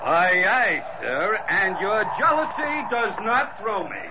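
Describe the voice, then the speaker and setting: An actor playing a red-bearded first mate with a joking, cheeky manner. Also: male voice, sits high, very animated, slow pace, exaggerated voice. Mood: wisecracking. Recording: dull old radio recording with loud hiss.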